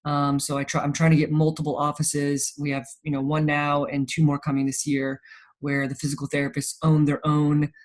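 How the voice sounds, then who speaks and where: A man's voice: fairly high pitch, completely flat delivery, moderate pace. A man in his thirties from South Carolina with an American accent and Swiss German as first language, chatting casually as an interview guest. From Charleston, South Carolina, United States. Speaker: interview guest, physical therapist and entrepreneur.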